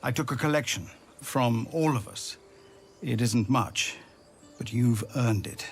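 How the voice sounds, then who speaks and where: A man's voice: mid-range voice, measured pace, lightly acted. Guy voicing tired grateful refugee leader in a game, earnest, mid voice, faint music. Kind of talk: video game voice acting.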